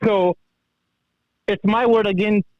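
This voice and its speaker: Afghan accent guy calling in, pretty high voice, muffled lofi audio, earnest, telling his own story. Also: fairly even intonation, slow, crowd noise. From Kabul, Afghanistan. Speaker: call-in guest, former police officer. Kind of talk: personal account in conversation.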